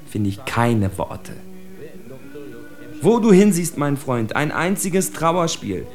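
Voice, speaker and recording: An actor playing a bitter, analytical spokesman for outcasts, declaiming an articulate literary monologue for radio. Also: on the high side. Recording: faint music under the voice. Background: faint music.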